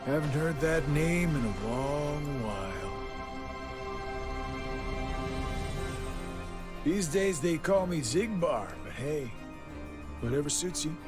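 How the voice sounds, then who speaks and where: A man's voice: a little high, expressive, measured pace, music in the background. An actor playing a sly, nonchalant, world-weary man with a hidden past in a video game cutscene. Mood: wry.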